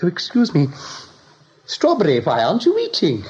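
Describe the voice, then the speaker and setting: An actor playing a pompous, genteel rabbit host in a radio drama, gushing and fussily polite to guests. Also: male voice, high-pitched, huge pitch swings, moderate pace, darkish tone. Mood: gushing, proud. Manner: gushing, fussy, affected politeness. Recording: dull, band-limited 1980s radio recording.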